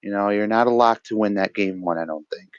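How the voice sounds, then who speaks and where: A man giving casual, reflective analysis as a match co-commentator, often pausing mid-thought over a voice chat. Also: lowish voice, no room sound, lo-fi sound. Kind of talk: casual esports-style card game commentary.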